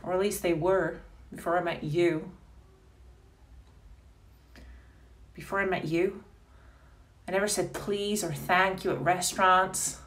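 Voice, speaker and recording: A woman's voice: on the low side, steady tone, fairly bright tone, recorded from a distance, lightly acted. An actress playing a cold, self-declared mean woman in a melodramatic, pleading stage monologue. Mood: confessional. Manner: pleading, melodramatic, deliberate with hesitations. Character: cold, self-declared bitch begging not to be proposed to.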